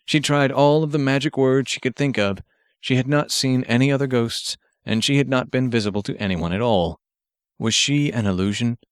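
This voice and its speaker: Male fiction narrator for audiobook. Medium pitch, scripted storytelling, dry close recording. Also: normal speed, smooth, dry recording.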